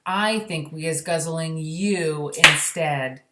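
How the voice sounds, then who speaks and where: A woman's voice: deep voice, slow pace, heavy background noise. A woman voicing an angry, brutish male storybook giant, bellowing threats in ungrammatical speech. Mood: threatening. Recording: roomy echo.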